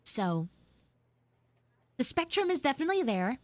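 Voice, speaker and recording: A sassy tsundere frog girl of high school age, voiced by a woman chats in a live roleplay. Her voice sits fairly high and swings widely in pitch as she rambles bluntly and swears. The sound is dry and close, with little room to it. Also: very animated, moderate pace, dry recording. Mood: fond, chatty. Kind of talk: improvised live audio roleplay, casual chatty monologue.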